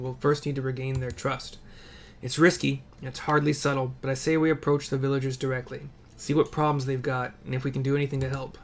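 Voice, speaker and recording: A man's voice: mid-range voice, lightly acted. Man reading as an earnest game ally, faint noise. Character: earnest, pragmatic resistance ally proposing plans. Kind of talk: reading game dialogue aloud.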